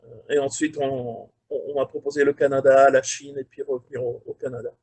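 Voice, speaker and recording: A man in his forties from France with a French accent, speaking as a career mentor on a video call from his factory office, relaxed and hesitant with many fillers. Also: mid-range voice, a little fast, husky. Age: 40s. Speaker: career mentor, quality manager.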